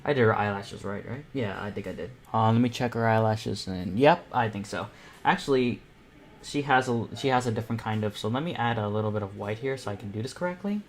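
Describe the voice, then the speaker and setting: A young man hosting an online art panel, chatting casually and excitably as he draws, thinking aloud. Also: on the low side, fairly quick. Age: teens or young adult. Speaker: host of an online painting panel. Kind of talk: casual chat while drawing live.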